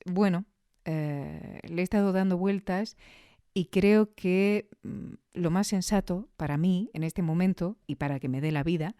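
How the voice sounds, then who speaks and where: A woman from Spain with a Spanish accent, talking reflectively and conversationally as a podcast host, pausing thoughtfully for emphasis. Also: on the low side, mellow, smooth, dry recording, studio quality. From Granada, Spain.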